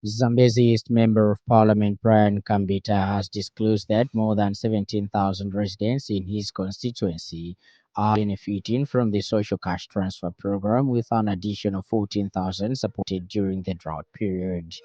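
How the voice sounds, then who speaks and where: A Zambian man reads the radio news from a studio. His voice is on the low side and notably mellow. He keeps a formal, measured delivery suited to a scripted bulletin. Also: fairly even intonation, no room sound, highs cut off.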